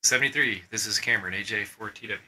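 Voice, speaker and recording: A man giving a casual, informal presentation in a halting, hesitant way, as a radio hobbyist introducing a video. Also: on the low side, sharp tone. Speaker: amateur radio club member presenting a video.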